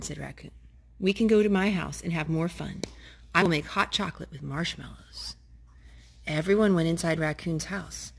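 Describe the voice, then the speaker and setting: A woman reading children's picture books aloud in a gentle, animated storytime voice, stopping to talk with the children. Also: medium pitch, vocal fry. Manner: gentle, animated read-aloud voice, talking to the children between pages. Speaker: storytime reader.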